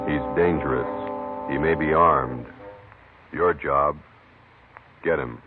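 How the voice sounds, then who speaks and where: Man, American, very low voice, grave scripted announcing, brassy music behind, narrow vintage radio sound. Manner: grave, measured, declamatory. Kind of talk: solemn scripted 1950s radio narration. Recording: narrow old radio recording. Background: loud brassy theme music.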